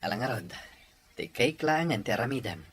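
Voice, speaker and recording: A man's voice: mid-range voice, animated, a little fast, slightly rough. A man playing an easygoing, gracious husband in a family audio drama, with an Ilocano accent. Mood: agreeable. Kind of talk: acted family drama dialogue.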